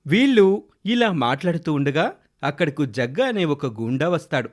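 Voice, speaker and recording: A man's voice: on the high side, animated, moderate pace. A man narrating a scripted moral story for children as a storyteller.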